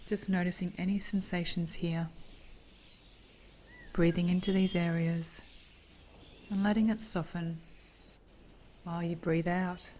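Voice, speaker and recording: Female meditation teacher: dark, soft tone, very slow gentle pacing, near-whispered phrase endings, light birdsong ambience. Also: on the low side, flat delivery, slight background noise. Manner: soothing, gentle, breathy delivery drifting toward a whisper. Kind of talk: guided body scan meditation.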